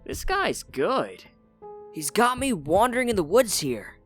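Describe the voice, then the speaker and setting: A man's voice: high voice, big pitch swings, unhurried, faint music behind. A young man playing a cocky teenage hacker sidekick in a comic dub, brash and slangy. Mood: intrigued. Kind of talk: comic book dub acting.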